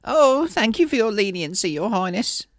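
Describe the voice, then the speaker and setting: Woman playing a stammering timid villager, wildly swooping pitch. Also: very animated, moderate pace, no room sound, clean studio sound, lightly acted. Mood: apologetic, panicked. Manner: stammering, hesitant, apologetic. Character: flustered, timid middle-aged village man, nervously deferential. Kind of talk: acted story dialogue.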